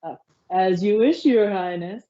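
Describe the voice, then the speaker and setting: A woman voicing a mischievous male trickster creature in an amateur audio drama, smooth, teasing and theatrical. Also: mid-range voice, dark tone, lo-fi sound, close to an everyday voice. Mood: sly. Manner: smooth, teasing, drawn-out delivery.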